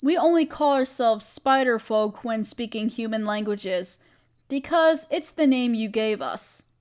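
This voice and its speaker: A woman playing a gentle, protective half-spider queen in a roleplay audio drama, speaking tenderly and soothingly to the listener. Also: fairly high pitch, lively intonation, a little slow. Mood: puzzled, reassuring. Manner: tender, soothing, hesitant and coaxing. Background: chirping crickets.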